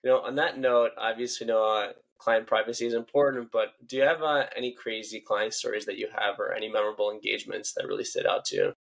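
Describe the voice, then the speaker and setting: A man's voice: lowish voice, fairly even intonation, quick pace, gravelly, hardly any pauses, fairly bright tone, rough recording. A man hosting a casual podcast interview, upbeat and affirming toward his guest. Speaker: podcast host.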